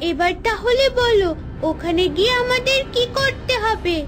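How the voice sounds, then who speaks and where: A woman voicing an excitable, impatient young girl adventurer in a cartoon fairy tale. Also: very high voice, moderate pace. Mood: anxious, impatient. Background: background music.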